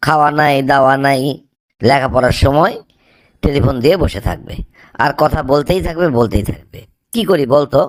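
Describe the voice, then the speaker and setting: A man's voice: medium pitch, brisk, dry recording, close to an everyday voice. A man playing a young student son in an amateur cartoon, speaking in a dramatic way. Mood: annoyed, complaining. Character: young male student, impatient, later remorseful son.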